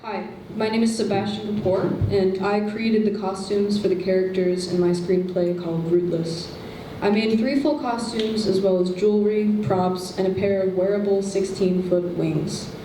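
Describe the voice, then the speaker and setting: A young woman in her late teens gives a steady, formal announcement in a mid-range voice. She sounds distant and washed in echo, and a clear background hiss runs underneath. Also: mid-range voice, normal speed. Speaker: student presenter. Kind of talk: prepared announcement. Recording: echoey hall, distant mic, noise.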